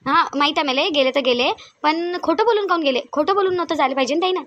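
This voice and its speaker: Woman acting as stern family matriarch, Varhadi Marathi accent, high pitch, quick scolding delivery, comedy-drama serial. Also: a bit bright, muffled recording. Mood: indignant. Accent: Varhadi Marathi of Vidarbha. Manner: scolding, accusing, insistent. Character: stern, aggrieved mother-in-law, bossy family matriarch.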